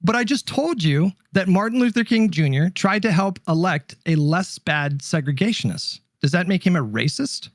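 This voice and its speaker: A man's voice: sits high, lively intonation, normal speed, hardly any pauses, dry recording, studio quality. A man in a heated political debate on a livestream, pressing questions in an insistent, indignant manner.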